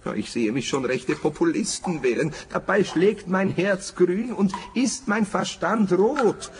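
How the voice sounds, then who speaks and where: An actor playing an officious, anxious forester in a radio play, hesitant and plaintive. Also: male voice, sits high, lively intonation, unhurried, background noise. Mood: worried, plaintive. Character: fussy, officious, anxious male forester, self-pitying company man.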